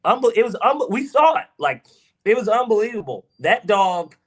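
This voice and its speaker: An emphatic Southern man chatting on a sports podcast, his fairly high voice swinging up and down in pitch. He argues his points with energy and drops the odd curse. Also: normal speed. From Georgia, US South. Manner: emphatic, animated, swears freely. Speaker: podcast co-host. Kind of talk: casual sports podcast chat.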